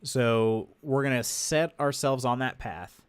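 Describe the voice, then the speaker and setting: A man's voice: medium pitch, slow pace, clean tone, no room sound. Congested man chatting on a stream. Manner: rambling, loose, many fillers and restarts. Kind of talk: casual livestream chat. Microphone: desk microphone. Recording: dry close sound.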